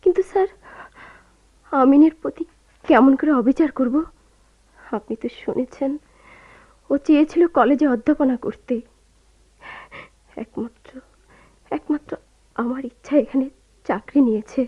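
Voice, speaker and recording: A dull, lo-fi radio drama recording of an anguished young wife. Her voice is high, tremulous and pleading, deferential even in distress. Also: unhurried, pauses often. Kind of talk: emotional Bengali radio play acting.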